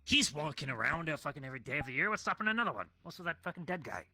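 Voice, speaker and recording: A man's voice: fairly high pitch, big pitch swings, very fast, pushed and bright, heavily acted. A man playing an irritable, foul-mouthed townsman in a fantasy roleplay, blunt and prone to ranting. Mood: exasperated. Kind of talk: improvised fantasy roleplay in a virtual world.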